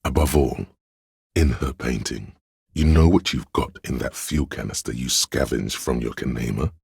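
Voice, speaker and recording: A pompous, arty voice inside the head, narrating a video game in second person. The voice is extremely low and very husky, with a creaky edge. The delivery is theatrical and ponderous, like scripted art criticism, recorded close and dry. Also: male voice, normal speed, a little mellow, no room sound, heavily acted. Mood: musing, grandiose. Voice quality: gravelly, husky, very deep. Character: inner voice of artistic imagination, pretentious, intellectual, male.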